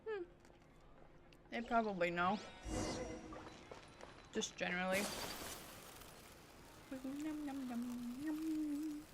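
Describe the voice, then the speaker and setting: A woman's voice: high-pitched, extremely slow, long pauses, background noise. A woman game streamer giving casual, playful live commentary with silly little asides. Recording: game music behind the voice. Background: video game music.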